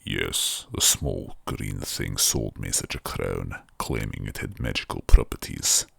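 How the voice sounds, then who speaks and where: A man's voice: a bass voice, gravelly, sharp tone, breathy edge, no echo, voice pushed down, full character voice. A man voicing an enormous metal smith god in a story reading, stony, imperious and menacing. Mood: stony, disdainful. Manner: stony, slow-burning, imperious.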